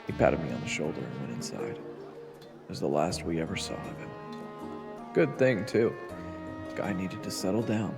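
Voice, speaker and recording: Man as wry glum swordsman narrator, very low creaky voice, fantasy audio drama, music behind. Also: big pitch swings, moderate pace, pauses often, full character voice. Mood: glum, reflective. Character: glum, wry young male swordsman telling his tale. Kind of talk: first-person scripted narration and audio roleplay acting.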